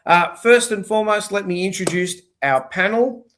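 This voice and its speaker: A man's voice: high voice, normal speed, fairly bright tone, a little hiss or hum. An older man hosting a casual panel show over a video call, sounding nasal and throaty from a cold, chatty and jokey. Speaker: host.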